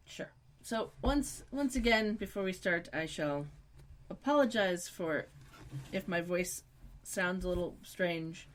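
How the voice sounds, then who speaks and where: A woman co-hosting a podcast, chatting casually and recapping scenes, her voice a little raspy from a cough. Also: fairly low pitch, animated, takes it slowly. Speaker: podcast co-host.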